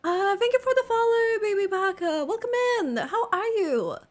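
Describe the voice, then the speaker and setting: A woman's voice: very high-pitched, lively intonation, moderate pace. A young woman streaming a game and chatting casually, thinking aloud and greeting viewers. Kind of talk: casual live reaction chat.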